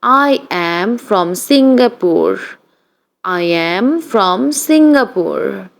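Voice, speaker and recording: A woman reading short practice sentences aloud for learners, in an even, deliberate read-aloud style that says each sentence twice. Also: mid-range voice, gravelly. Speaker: English practice reader.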